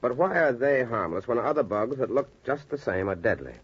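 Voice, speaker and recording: An actor playing an idealistic, intense young doctor and devoted son, earnest and emphatic, in 1940s radio drama style. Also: male voice, medium pitch, sing-song, a little fast, husky. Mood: impassioned. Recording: muffled 1940s radio recording.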